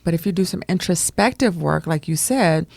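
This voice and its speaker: A woman's voice: fairly low pitch, expressive, moderate pace, gravelly, a little mellow, dry recording. A woman chatting casually on a radio show in a colloquial, agreeing way.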